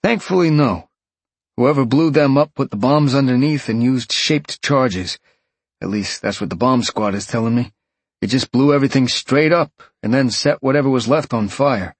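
A man's voice: medium pitch, normal speed, breathy edge, no echo, voice pushed up. A man voicing a concerned, paternal police detective in an audiobook, official and matter-of-fact.

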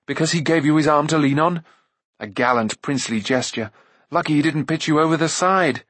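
Guy doing a grumpy jealous young guy, sulky and snappy, kinda husky. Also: fairly high pitch, brisk, fairly bright tone, no room sound. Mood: grumbling. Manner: sulky, indignant grumbling. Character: young assistant pig keeper, proud and easily nettled.

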